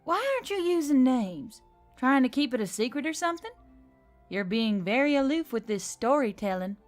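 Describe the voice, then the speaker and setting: A woman's voice: high-pitched, big pitch swings, normal speed, soft background music, pitched above the performer's own voice. A woman playing a flippant, sarcastic young female adventurer in a fantasy audiobook, blunt and teasing. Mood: skeptical. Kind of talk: scripted audiobook character acting.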